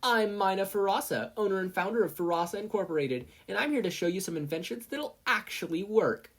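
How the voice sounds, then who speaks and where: A narrator voicing a smug teenage girl inventor who pitches in a peppy, taunting way in a story reading. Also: expressive, brisk. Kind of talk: podfic character voice.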